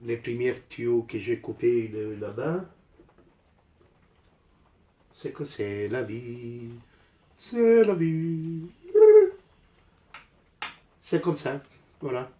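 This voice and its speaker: A man's voice: mid-range voice, expressive, slow, pauses often, mellow. An older man from Italy speaking French with an Italian accent, rambling casually and bluntly while doing repairs, repeating phrases for emphasis. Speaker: amateur DIY vlogger. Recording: roomy echo.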